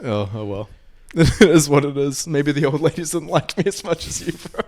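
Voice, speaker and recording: A young man with a fairly high voice and a New Zealand accent hosts a casual podcast. He asks his questions loosely and jokes around, swearing as he goes. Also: lively intonation, normal speed, a little mellow. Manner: jokey, sweary. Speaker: podcast host. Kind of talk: casual interview chat.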